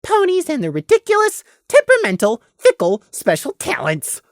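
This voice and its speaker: Man voicing a high-pitched, paranoid little lamb. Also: wildly swooping pitch, normal speed, dry recording, studio quality, exaggerated voice. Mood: resentful. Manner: scowling, insistent. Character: small paranoid grumbling male lamb, suspicious and resentful.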